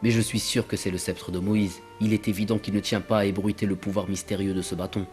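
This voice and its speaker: A man's voice: on the low side, little pitch movement, quick pace, a little mellow, lightly acted. A man voicing a greedy, cowardly traveling companion in a religious cartoon, given to sly muttered asides and jittery protests. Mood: sly, scheming. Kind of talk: dubbed religious cartoon acting. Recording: music under the voice.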